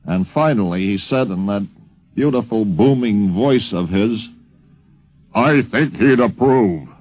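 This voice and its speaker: A man recalling a personal anecdote in a measured, deliberate way, pausing mid-sentence, on a 1950s radio recording. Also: lowish voice, lively intonation, dull audio. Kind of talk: anecdote told from memory.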